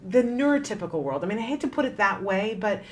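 A woman hosts an interview at a middling pitch. She speaks emphatically and expressively, leaning into her words. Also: animated, fast talker. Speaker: host.

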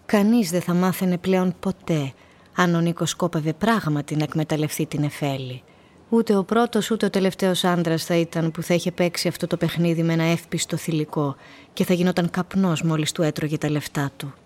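An actress as the composed narrator of a radio play, reading literary prose. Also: female voice, fairly low pitch, darkish tone, lightly acted. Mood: knowing.

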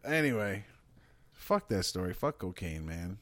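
A man co-hosting a podcast in casual chat, excitable and swearing as he riffs on questions. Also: sits low, measured pace, no echo. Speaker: podcast co-host.

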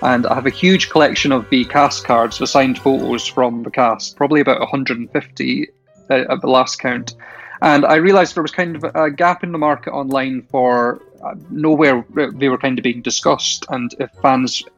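A man's voice: mid-range voice, steady tone, normal speed, fairly bright tone, muffled recording, faint music behind. A man in his thirties with a Shetland accent, a friendly, chatty quiz contestant who hesitates now and then. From Shetland Isles, Scotland. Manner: friendly, chatty, laughs often, some hesitation. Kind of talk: casual interview answers.

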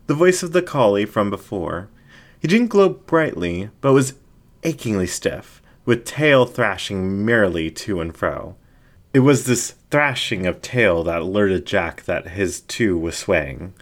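Male narrator, very slow delivery, somewhat low pitch, dramatic fiction reading, scripted. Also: animated. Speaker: story narrator.

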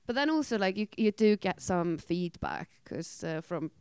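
A woman's voice: mid-range voice, animated, moderate pace, a little mellow, smooth, dry recording. A woman from Iceland whose first language is Icelandic, speaking English with an Icelandic accent in casual chat, laughing often. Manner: laughs often, quotes herself in a raised voice.

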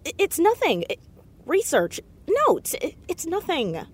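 Casting: stubborn teen girl sleuth, higher voice with very animated pitch, naturalistic audio drama delivery. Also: measured pace, full character voice. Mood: flustered, defensive. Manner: stammers when cornered, insistent. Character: headstrong, inquisitive teenage girl, defensive amateur sleuth.

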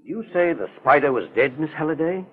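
An actor playing a kindly, fatherly older investigator in 1940s radio style, deliberate, courteous and reassuring. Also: a little high, animated, faint music behind, close to an everyday voice. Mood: probing, calm. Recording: tinny, narrow 1940s radio recording.

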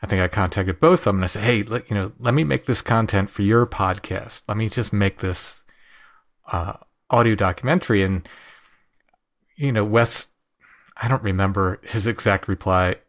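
A man's voice: fairly low pitch, normal speed. A man who is an author and podcaster, answering interview questions in a rambling way, often restarting his sentences.

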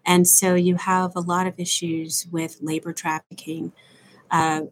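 A woman from Los Angeles and Salt Lake City, explaining her work in a measured way as an interview guest on a video call. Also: on the low side, flat delivery, slight background noise, rough recording. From born in Los Angeles, raised partly in Salt Lake City. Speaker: guest, company president. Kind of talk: remote interview answers.

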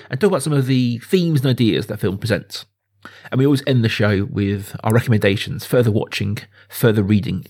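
A man speaking as a podcast host, chatting informally and with gentle jokiness about films. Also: on the low side. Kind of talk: casual film podcast chat.

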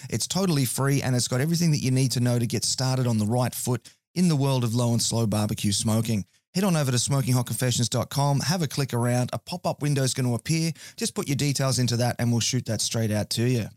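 Hoarse upbeat podcast host. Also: male voice, medium pitch, quick pace, a little mellow, no room sound, clean studio sound. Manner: chatty, upbeat, self-mocking. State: hoarse from a cold. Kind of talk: podcast intro and sponsor announcements.

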